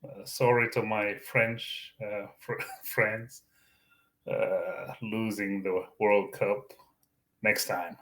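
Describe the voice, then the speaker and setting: A man whose first language is Arabic hosts his own channel, giving a Bible teaching talk in a slow, deliberate way with many fillers and restarts. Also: fairly low pitch, little pitch movement, sharp tone. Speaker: YouTube channel host.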